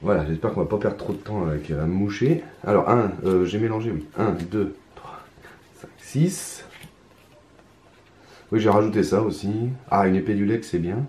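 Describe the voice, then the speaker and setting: A hoarse man talking his way through a solo card game in hesitant, mumbled commentary. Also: lowish voice, normal speed, darkish tone. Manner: hesitant, mumbling, many restarts, muttering to himself. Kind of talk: casual solo gameplay commentary. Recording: roomy echo, faint ambience.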